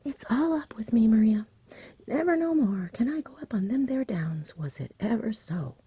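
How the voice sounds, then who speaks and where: A woman voicing a frightened, fretful shepherd father from a storybook, in an agitated, rambling country dialect and a breathy whisper. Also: fairly high pitch, animated, normal speed, mellow, no echo, pitched above the performer's own voice.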